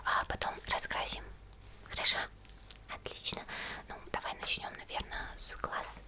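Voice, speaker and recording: A woman playing a friendly, attentive monster girl in a whispered ASMR roleplay, speaking gently and unhurriedly in a breathy murmur to the listener. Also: moderate pace, lightly acted. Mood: focused, attentive.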